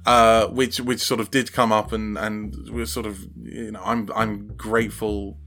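A man's voice: fairly low pitch, level tone, a little slow, fairly bright tone. A man chatting casually as a podcast guest, hesitant and self-deprecating in his way of talking. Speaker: podcast guest, audio drama maker. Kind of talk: casual interview chat.

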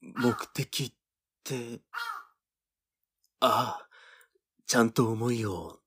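A man's voice: medium pitch, extremely slow, fairly bright tone, breathy edge, full character voice. An actor playing a mild, easygoing young man in a Japanese audio drama, speaking in a hesitant, musing way. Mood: musing, puzzled.

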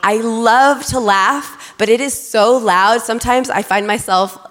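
A young adult woman preaching a lively, excitable sermon to her congregation from a church stage. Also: on the high side, measured pace. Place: large church auditorium. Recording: reverberant church hall.

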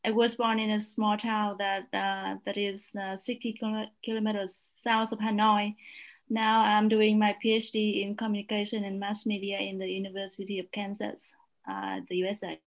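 A woman from Vietnam with a Vietnamese accent, a non-native English speaker, telling her life story earnestly as a guest, with hesitant "uh" pauses. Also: mid-range voice, little pitch movement, low-quality recording. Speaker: PhD student guest. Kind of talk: personal storytelling in interview.

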